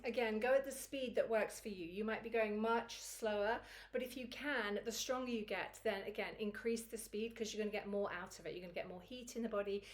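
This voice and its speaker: A woman with a croaky, recovering voice teaching a Kundalini yoga routine in a chatty, encouraging way. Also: mid-range voice, a little fast, few pauses. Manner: chatty and encouraging, laughs easily. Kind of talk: guided yoga class instruction.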